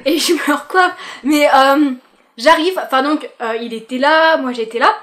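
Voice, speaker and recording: High-voiced young woman, teasing and chatty. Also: moderate pace, a bit bright. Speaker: co-host friend. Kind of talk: casual storytelling.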